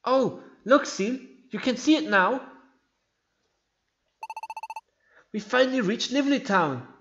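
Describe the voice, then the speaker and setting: A non-native English-speaking man voicing an earnest young fire-lizard creature in a video game, halting, emotional and worried but determined. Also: very high-pitched, lively intonation, fairly bright tone, dull audio, slight background noise, voice pushed up, heavily acted. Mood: excited. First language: not English. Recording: game music behind the voice.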